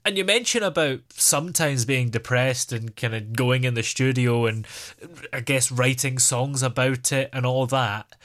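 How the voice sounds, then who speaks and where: A man's voice: mid-range voice, a bit bright. A man hosting an interview, asking questions and giving short encouraging replies. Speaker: interview host.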